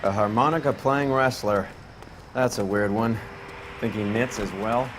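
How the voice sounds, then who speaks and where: An actor playing a cynical, wisecracking American police partner, blunt and slangy, in a period crime game. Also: male voice, lowish voice, steady tone, measured pace, background noise, close to an everyday voice. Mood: mocking. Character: cynical, sexist, wisecracking male cop partner.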